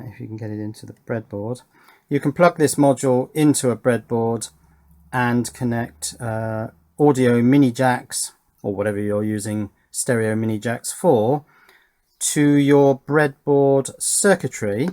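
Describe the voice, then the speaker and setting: A middle-aged man giving an unscripted hands-on demonstration, thinking aloud in short, halting phrases as he works. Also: mid-range voice, mellow. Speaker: electronics hobbyist and presenter. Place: indoor electronics workbench.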